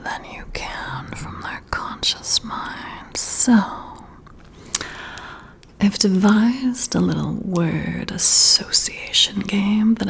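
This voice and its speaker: A woman playing a flirty, confident female boss in a breathy, whispered ASMR roleplay, teasing and coy with deliberate, drawn-out phrasing. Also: medium pitch.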